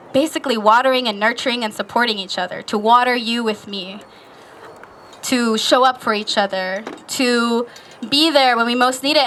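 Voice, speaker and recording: A woman's voice: on the high side, a little hiss or hum. A woman artist from Newark speaking earnestly to a crowd at an outdoor rally. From Newark, New Jersey. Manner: earnest, warm-hearted address to a crowd.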